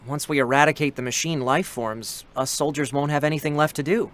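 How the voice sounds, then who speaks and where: A man's voice: on the high side, fairly even intonation, fairly quick, fairly bright tone, lightly acted. An actor playing an earnest, wistful young male android soldier in a dramatic reading, chatty and sentimental with boyish hesitations. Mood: hopeful. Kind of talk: dramatic scripted reading with first-person narration.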